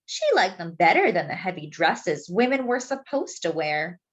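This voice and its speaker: A woman reads a picture book aloud expressively, explaining things simply and deliberately as if to young children. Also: fairly low pitch, animated, dull audio. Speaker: children's storybook reader.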